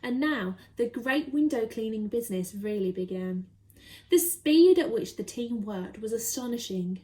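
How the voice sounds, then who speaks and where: A woman reading a children's story aloud expressively, with dramatic, deliberate emphasis. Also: fairly high pitch, lively intonation, warm tone.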